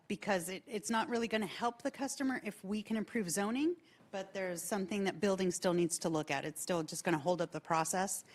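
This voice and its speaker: A woman hosting a public workshop who closes the presentation and answers questions in a polite, explanatory way. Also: lowish voice. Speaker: workshop host.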